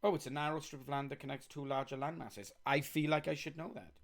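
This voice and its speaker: A man's voice: on the high side, fairly even intonation, fast talker. A man with a Welsh accent live-streaming a coding game, chatting casually as he thinks aloud and reads on-screen text.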